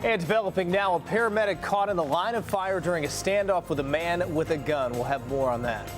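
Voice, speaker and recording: A man's voice: a little high, fairly quick, music in the background. An American man anchoring TV morning news in a scripted news-reading style.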